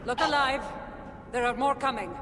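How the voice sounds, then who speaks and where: A woman's voice: high voice, moderate pace, close to an everyday voice. An actress playing a determined, weary lone warrior woman in a video game, speaking earnestly and emphatically. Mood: alert. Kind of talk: dramatic video game cutscene acting. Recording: echoing game scene with clear ambient noise.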